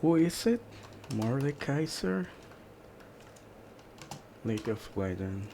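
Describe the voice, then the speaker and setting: A man's voice: mid-range voice, very animated, slow, gravelly, dark tone. Casting: male reactor with a mid-range everyday voice, offhand chatty comments, slight background noise. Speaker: reacting listener. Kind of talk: casual reaction chat.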